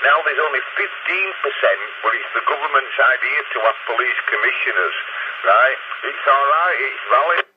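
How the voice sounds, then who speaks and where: A Northern English man talks over a tinny, very noisy CB radio link at a middling pitch. He is blunt, opinionated and sweary, in loose casual chat. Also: expressive, normal speed. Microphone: CB radio handset. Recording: tinny CB radio, heavy noise.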